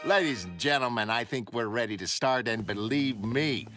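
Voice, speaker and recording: Guy as a tv show host, brisk n showy, very up and down voice, music behind. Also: mid-range voice, lively intonation, a bit bright, close to an everyday voice. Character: brisk showman male TV talk show host. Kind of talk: motion comic character acting.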